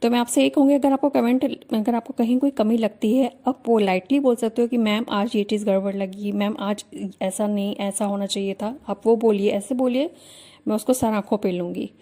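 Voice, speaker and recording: Young woman shorthand teacher: high-ish, mellow voice, measured dictation pacing, firm and direct with students. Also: steady tone. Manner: measured, deliberate phrasing with pauses between word groups; firm, earnest, mildly aggrieved. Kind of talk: slow word-by-word dictation reading; casual direct address to students.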